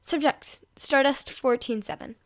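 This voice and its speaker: A woman's voice: sits high, very animated, measured pace, gravelly. A woman reading scripted fiction aloud as a storyteller. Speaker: fan fiction reader and podfic host.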